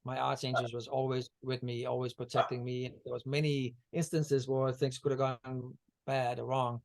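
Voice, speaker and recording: Man from denmark, monotone, rambling, fillers and restarts, video call. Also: medium pitch, normal speed, creaky, rough recording. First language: Danish. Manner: meandering, many fillers and restarts. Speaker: guest, energy healer. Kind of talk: casual video-call interview.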